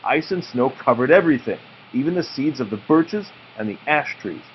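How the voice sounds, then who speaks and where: Expressive dad reading a storybook, muffled recording. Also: male voice, mid-range voice. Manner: slow, drawn-out storytelling delivery. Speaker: parent reading to a child. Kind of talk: read-aloud of a children's picture book, with informal asides. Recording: muffled home recording with background noise.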